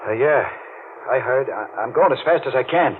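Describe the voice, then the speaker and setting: An actor playing a brooding, guilt-haunted male diver in a 1940s radio mystery, with a tense, deliberate delivery. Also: medium pitch, lively intonation, lightly acted. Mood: nervous, stalling. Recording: noisy, narrow-band old radio recording.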